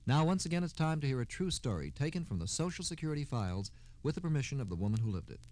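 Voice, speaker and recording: An American man hosts a scripted radio program in old-time style. His delivery is formal, like a proper announcer's, and his pitch moves up and down in a very animated way. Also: very fast. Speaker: radio host.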